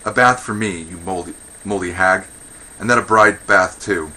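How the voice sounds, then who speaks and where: A man playing a quarrelsome, raspy old Greek man. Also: deep voice, normal speed, sharp tone, poor audio. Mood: scornful. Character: quarrelsome, blustering old Athenian men insulting women.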